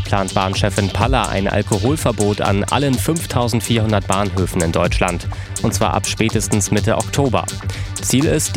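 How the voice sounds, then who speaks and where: A man reading a radio news bulletin in a steady, even way. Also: on the low side. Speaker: news presenter. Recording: music bed underneath.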